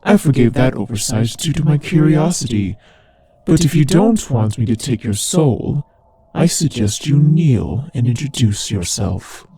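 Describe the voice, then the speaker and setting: A man voicing a haughty, teasing demon lord in an ASMR roleplay, imperious and condescending, with a husky voice. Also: mid-range voice, animated, unhurried, dark tone, dry recording. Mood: imperious, menacing. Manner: imperious, condescending, playfully taunting. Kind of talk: ASMR roleplay audio drama addressed to the listener.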